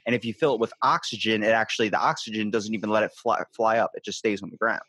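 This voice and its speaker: A man's voice: lowish voice, fairly even intonation, fast, fairly bright tone, breathy edge, dry recording. A man hosting a podcast interview, loose, rambling and jokey in casual chat. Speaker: podcast host.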